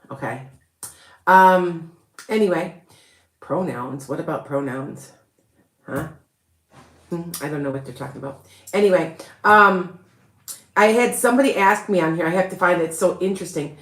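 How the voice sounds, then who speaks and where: Woman, Chicago accent, lowish voice, rambling chatty live-stream host, roomy echo. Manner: rambling and chatty, with frequent laughs and restarts. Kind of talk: casual live-stream chat.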